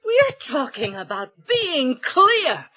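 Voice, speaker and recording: An actress playing a scientist's neglected wife in an audio drama, with a biting, sarcastic delivery. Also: female voice, high voice, big pitch swings, takes it slowly, exaggerated voice. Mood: furious. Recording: dull lo-fi audio.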